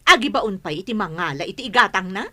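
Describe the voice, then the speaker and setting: A woman's voice: mid-range voice, expressive, fast, sharp tone. A woman acting a haughty, scornful madam in an Ilocano radio drama. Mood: irritated. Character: haughty, sharp-tongued madam, scornful mistress of the house.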